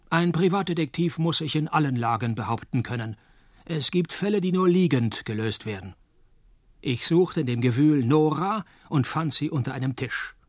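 An actor playing a wry, deadpan, hard-drinking male private detective in a radio play, speaking with dry, laconic wit. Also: mid-range voice, no echo, natural voice. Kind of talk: radio play dialogue and first-person noir narration.

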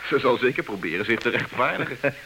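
A man's voice: medium pitch, animated, fast, bright, forceful tone. An actor playing a husband's mild, admiring and teasing male friend in a comic radio play, speaking expressively. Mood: doubtful, deferring. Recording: noisy, crackly old radio recording.

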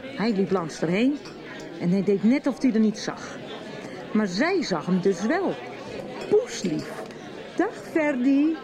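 A woman's voice: medium pitch, slow pace, pauses often. An actress in her thirties playing a droll, gossipy estranged wife confiding in a friend in a radio soap, telling it vividly. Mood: chatty, teasing, then mock outrage. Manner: animated storytelling, droll. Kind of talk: Dutch radio soap acting. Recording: music and chatter behind the voice.

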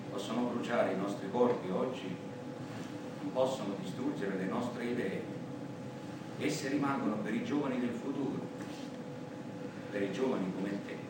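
Actor as tender condemned father, very echoey. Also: lightly acted. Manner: solemn, tender, halting phrases. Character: condemned father, tender, idealistic, bidding his young son farewell. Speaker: stage actor. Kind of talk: theatrical monologue, farewell letter. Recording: distant echoey hall, loud rumbling noise.

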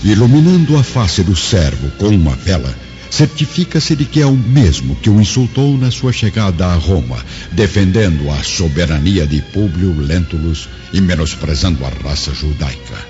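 A man's voice: sits low, animated, moderate pace. A man narrating a scripted drama in a solemn, theatrical manner, recorded muffled with long reverb and music behind.

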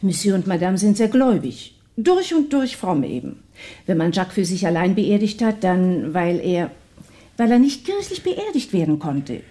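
Actress as mellow, guarded maid, animated inflection. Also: female voice, medium pitch, moderate pace, lightly acted. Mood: hesitant, confiding. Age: 30s. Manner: guarded, discreet, confiding. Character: reserved, discreet household maid, woman about thirty. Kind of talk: 1960s German radio play acting.

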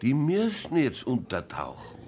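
An actor playing a smug, suspicious Bavarian pub know-it-all in a radio crime play, explaining things knowingly. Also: male voice, mid-range voice, huge pitch swings, measured pace, darkish tone.

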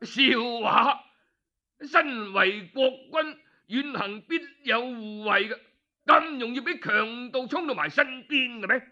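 Man acting a high, domineering Cantonese duke. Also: takes it slowly, lo-fi sound, full character voice. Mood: scornful. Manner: sharp, mocking, interrogating. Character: cruel, domineering duke, accusing and sneering.

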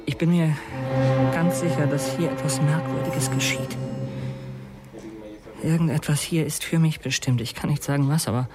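A man's voice: on the high side, fairly even intonation, normal speed, long pauses, over loud music, close to an everyday voice. Man acting an earnest, brooding youth. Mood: awed, resolute. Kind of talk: radio play acting.

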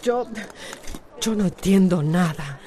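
An actress playing a bold but shaken salon owner in a radio soap opera, with a Latin American Spanish accent and a stammering, repetitive delivery. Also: female voice, on the low side, lively intonation, slow, mellow. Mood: distraught. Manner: flustered, stammering, repeating words. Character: bold woman salon owner, shaken and secretive after a burglary.

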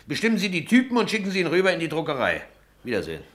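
Cheeky eager reporter in a radio play, highish lively voice, breezy and hurried. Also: male voice. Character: brisk, cheeky male newspaper reporter, eager and excitable. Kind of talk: German radio play acting.

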